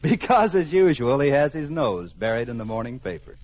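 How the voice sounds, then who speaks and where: A man's voice: medium pitch, big pitch swings, a little fast, darkish tone. A man with an American accent announcing and narrating a scripted 1940s radio comedy. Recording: muffled old radio recording.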